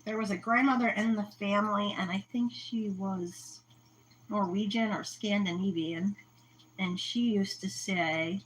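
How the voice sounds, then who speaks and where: A woman's voice: mid-range voice, steady tone, a little slow, slight background noise, low-quality recording. A woman hosting a casual livestream, chatty, telling stories and laughing often. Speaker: host of an aquarium livestream. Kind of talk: casual chat.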